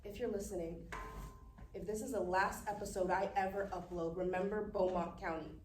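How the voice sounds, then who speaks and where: Actress doing a defiant young niece, grave dramatic read, echoey room, bit far from the mic. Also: female voice, medium pitch, fairly even intonation, fairly quick, a little mellow, close to an everyday voice. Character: outspoken young niece recording a defiant episode, resolute. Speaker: actor at a live table read. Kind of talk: dramatic script reading. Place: live event room at a film festival. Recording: roomy, distant mic, faint noise.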